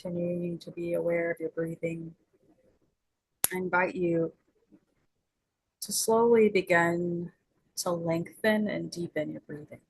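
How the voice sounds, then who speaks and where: A woman guides a meditation at a very slow, unhurried pace, leaving long pauses between gentle invitations. Her voice sits a little low and has a distinctly husky, breathy grain. The delivery is soothing throughout. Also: fairly even intonation, rough recording.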